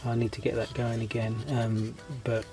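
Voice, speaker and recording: A man with a stuffy nose and a voice that is giving out chats casually to his viewers. He hesitates a lot, often restarting his sentences, and stays friendly and easygoing throughout. Clear background music plays under his voice. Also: medium pitch, monotone, normal speed. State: congested with a cold, losing his voice. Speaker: scale model hobby channel host. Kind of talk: casual rambling vlog update.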